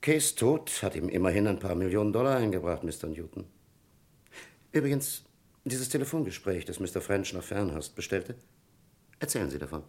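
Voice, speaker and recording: A man's voice: deep voice, animated, a little fast, darkish tone. A man playing a dry, insistent police captain in a German crime radio play, questioning people formally and pointedly. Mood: formal, probing.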